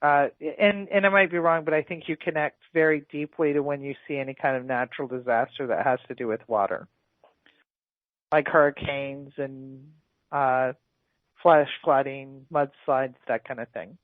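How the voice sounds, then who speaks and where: A man giving a psychic past life reading on a radio show, speaking hesitantly with pauses and restarts. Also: mid-range voice, fairly even intonation, no echo. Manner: hesitant, full of ums and restarts. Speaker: psychic reader. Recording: phone-like lo-fi radio sound.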